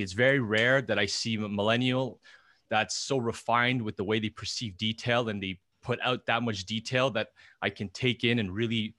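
A man's voice: medium pitch, fairly bright tone, no echo. A young man co-hosting a podcast, talking casually and meandering with many restarts. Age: young adult. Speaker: podcast co-host.

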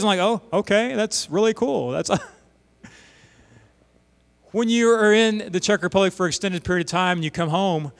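A middle-aged man from Oklahoma preaching in a church sanctuary, his fairly high voice carrying a long hall reverb. He tells stories with animated asides between earnest points. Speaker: missionary pastor. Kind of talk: sermon.

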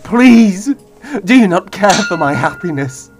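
A man's voice: high-pitched, expressive, measured pace, over music. An actor playing a noble young king in a fantasy audio drama, speaking in formal, archaic, dramatic phrases. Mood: anguished, pleading. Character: noble young male fantasy king, devoted, desperate lover.

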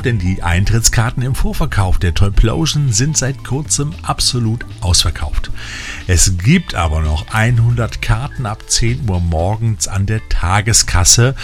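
A man hosting a scripted magazine-style show, narrating with colloquial, enthusiastic fan patter. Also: lowish voice. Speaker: podcast host. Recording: music playing behind the voice. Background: music bed.

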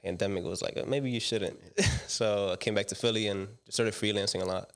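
Low, flat-voiced young man telling stories. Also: brisk, gravelly. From Dominican Republic. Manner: relaxed, slangy storytelling with many fillers. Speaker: guest, commercial director. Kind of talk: casual interview talk.

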